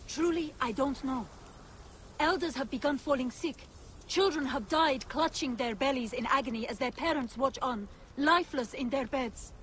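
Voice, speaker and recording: Sorrowful peasant, high-pitched, humble, plaintive, light background noise, video game. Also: female voice, normal speed, a bit bright. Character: weary, sorrowful villager among the downtrodden poor. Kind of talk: video game character acting.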